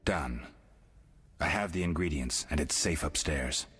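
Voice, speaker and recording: A stoic, guarded monster hunter from a fantasy game. His voice is extremely low and gruff, and he speaks in short deadpan phrases. Faint music plays behind him. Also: unhurried, heavily acted. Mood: stoic, terse. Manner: terse, deadpan. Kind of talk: video game voice acting.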